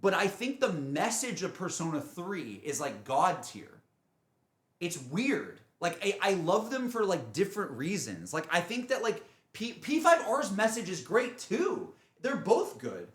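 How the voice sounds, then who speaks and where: A man's voice: high voice, very animated, unhurried. A man livestreaming, chatting casually about games in an earnest, emphatic, rambling way that often circles back on itself. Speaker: game streamer. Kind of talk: casual livestream chat, emotional game reflection.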